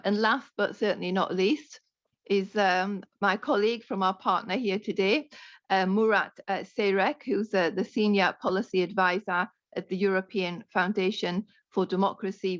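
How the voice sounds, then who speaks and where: A woman moderates a policy panel in a mid-range voice, polite and halting with frequent fillers. The audio is dry and a little dull, with no room echo. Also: medium pitch, steady tone. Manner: polite and halting, with many ums and uhs.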